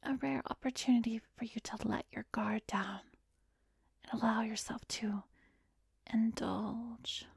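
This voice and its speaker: A very breathy, whispering female therapist, acted by a woman for an ASMR hypnosis roleplay. Her voice sits a little high and stays soft and coaxing. She guides slowly and soothingly, very close and dry on the microphone. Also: steady tone, dry recording, full character voice. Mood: coaxing, intimate. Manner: slow, soothing, measured guiding with pauses. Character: calm, coaxing female therapist, secretly a manipulative vampiress. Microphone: close microphone, dry recording.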